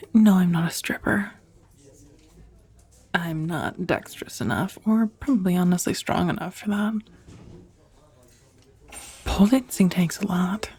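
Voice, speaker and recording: Super breathy soft-spoken woman playing a dominatrix on a blind date, asmr roleplay, slow confiding teasing talk, real close and dry. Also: lowish voice. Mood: sheepish, hesitant. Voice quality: breathy, often near a whisper. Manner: hesitant, confiding, teasing, with sighs. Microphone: close, dry ASMR microphone.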